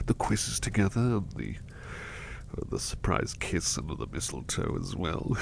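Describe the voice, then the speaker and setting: A man voicing an ill, devoted butler in an ASMR roleplay, talking softly in a whispery, affectionate way as he grows sleepy. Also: deep voice, creaky, a little hiss or hum, full character voice. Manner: soft, whispery, affectionate, repeats phrases. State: sick and sleepy. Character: devoted, gentle male butler, ill in bed.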